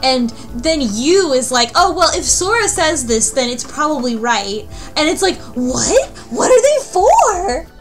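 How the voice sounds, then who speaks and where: A woman with a fairly high voice chats casually as a co-host. She retells things with animation, in loose everyday phrasing. Music plays clearly behind her. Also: sing-song, measured pace. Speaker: podcast co-host. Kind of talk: casual book club discussion.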